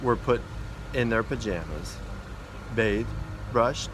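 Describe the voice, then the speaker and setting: Man reading aloud over loud outdoor noise. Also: fairly low pitch, lively intonation, takes it slowly. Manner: addresses young listeners informally as "guys". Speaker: elementary school principal reading to children. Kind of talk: read-aloud of a children's storybook. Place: outdoors in a backyard. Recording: outdoor noise, vehicle and ambient hum. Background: loud outdoor ambience, vehicle noise.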